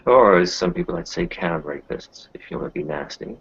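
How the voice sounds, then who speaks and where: A man's voice: deep voice, lively intonation, a little fast, vocal fry, fairly bright tone, slightly rough, dull audio, exaggerated voice. A man playing a wry, casual, streetwise character in an improvised roleplay, blunt as he explains things.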